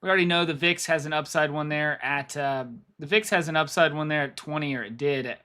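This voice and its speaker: A man with a slightly raised pitch talks viewers through trading charts on a live stream. His voice is going hoarse, and he rambles, repeats phrases and thinks out loud as he clicks around. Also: brisk. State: losing his voice. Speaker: stock market streamer.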